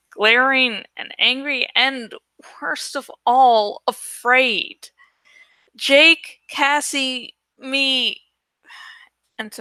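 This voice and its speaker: A woman narrating a children's adventure novel aloud as an amateur audiobook reader, in an expressive style. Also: on the high side, smooth, no room sound.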